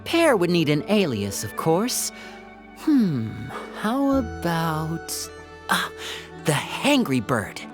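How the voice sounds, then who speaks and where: An actress playing a reserved young magician's assistant in a video game, deadpan, measured and polite. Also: female voice, sits low, over music, heavily acted. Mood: calm, thoughtful.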